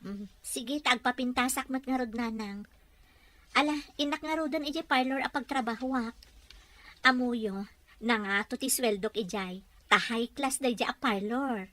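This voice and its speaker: A woman's voice: on the high side, moderate pace, a bit bright, no echo, natural voice. A woman playing a patient, troubled young wife. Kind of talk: Ilocano audio drama acting.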